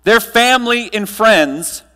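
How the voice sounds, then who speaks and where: A man with a slightly high voice delivers a motivational conference speech. He uses dramatic pauses and emphatic storytelling. The sound carries the long reverb of a large hall. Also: lively intonation, sharp tone, clear, resonant tone. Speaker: keynote speaker. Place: large room, live audience, amplified.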